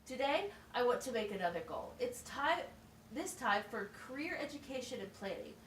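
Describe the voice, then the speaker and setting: A woman's voice: fairly high pitch, big pitch swings, moderate pace. A woman playing a patient, encouraging special education teacher at a wizard school in an amateur puppet show, explaining things simply, step by step. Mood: encouraging, instructive. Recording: echoey, distant mic with faint noise.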